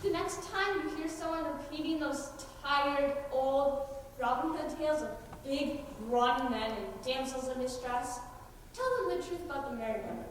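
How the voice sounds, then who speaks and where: Heard from a distance in a very reverberant hall, a wry storyteller played by a young woman speaks to the audience. The voice is high, in the manner of amateur stage narration. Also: normal speed, close to an everyday voice. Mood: wry, confiding. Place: high school play on a stage. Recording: echoey hall from far off, faint noise.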